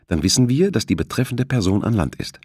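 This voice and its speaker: A man's voice: lowish voice, sing-song, a little fast, a little mellow, husky, no room sound, studio quality, pitched above the performer's own voice, exaggerated voice. A man voicing a polite, businesslike female ship officer in an audiobook, explaining things courteously. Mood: matter-of-fact.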